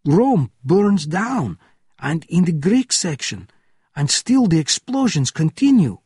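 An audiobook thriller's panicked gate officer, acted by a male narrator, shouting frantically in a high, strained pitch. The delivery swings wildly and sounds husky, in a dry recording. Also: big pitch swings, takes it slowly, no echo, exaggerated voice. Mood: alarmed, disbelieving. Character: panicked gatehouse officer screaming about fires and bombs.

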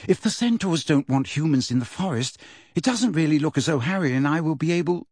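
Guy doing a worried teen schoolgirl, raised pitch, breathy and timid, pleading, storybook read. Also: lively intonation, brisk, a little mellow, dry recording, clean studio sound. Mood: breathless, miserable. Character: anxious, pleading teenage schoolgirl.

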